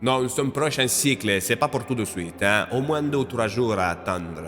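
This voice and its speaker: A man's voice: medium pitch, lively intonation, moderate pace, fairly bright tone, natural voice. Audio-drama captive, played by a man: wily, offhand, mid-range male voice in a reverberant space. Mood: nonchalant. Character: wily, nonchalant male captive bluffing to survive. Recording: reverberant cave effect, music behind.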